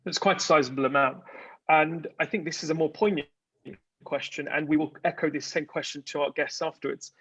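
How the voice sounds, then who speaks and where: Husky-voiced man hosting a panel. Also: a little high, fairly even intonation, a little fast, a bit bright, no room sound, low-quality recording. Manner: polite, thanking and paraphrasing questions. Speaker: panel host. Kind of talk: moderating an online panel.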